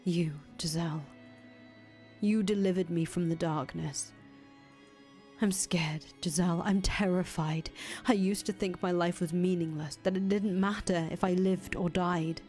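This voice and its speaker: A woman voicing a soft-spoken young man condemned as a heretic, talking quietly in breathy whispers. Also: lowish voice, fairly even intonation, unhurried, soft background music, lightly acted. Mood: tender. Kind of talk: audio drama acting.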